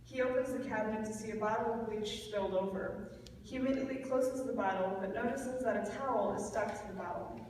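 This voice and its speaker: A young woman reading stage directions as narrator of a live, impromptu play reading, a little halting with corrections. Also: fairly high pitch, a little mellow. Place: large reverberant room at a live reading event. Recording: echoey hall from far off, noisy.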